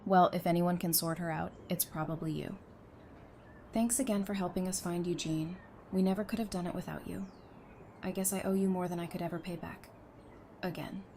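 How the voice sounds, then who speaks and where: A woman's voice: on the low side, fairly even intonation, fairly quick, mellow, a little hiss or hum, natural voice. A woman acting an affectionate, teasing young mother among survivors in an audio drama, speaking casually and sincerely. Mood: warm, grateful.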